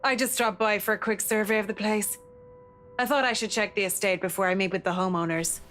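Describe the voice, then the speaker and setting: A woman's voice: medium pitch, steady tone, brisk, fairly bright tone, slight background noise, natural voice. A woman voicing a sophisticated woman interior designer with a cool, curt, professional manner. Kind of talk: visual novel reading.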